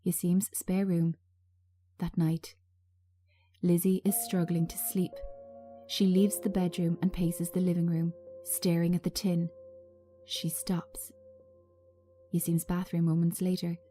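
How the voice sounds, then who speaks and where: A woman's voice: on the low side, level tone, unhurried, long pauses, mellow, soft background music. A woman narrating a drama script, reading out stage directions.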